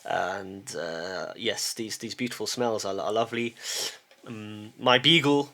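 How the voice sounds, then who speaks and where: A man's voice: on the low side, gravelly, sharp tone. A middle-aged man talking casually to camera in a loose monologue. He hesitates, repeats words as he restarts, and often checks in with a questioning "yes?". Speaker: vlogger. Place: lives in a small town.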